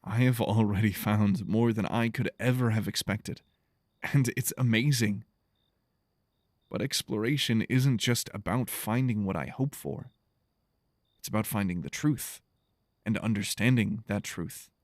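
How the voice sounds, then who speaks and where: A man playing an earnest, gentle explorer stranded on an alien world in an audio drama, speaking in a reflective, measured way. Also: on the low side, dry recording, clean studio sound, lightly acted. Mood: thoughtful.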